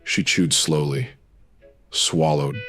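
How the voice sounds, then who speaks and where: An actor playing the brooding narrator of a pulp noir audio drama, terse, dramatic and ominous. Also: male voice, rumbling low, slow pace, a bit bright, soft background music, heavily acted.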